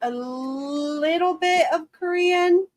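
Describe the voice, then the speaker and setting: A woman's voice: extremely high pitch, slow, no room sound. A woman in a livestream chat, speaking hesitantly.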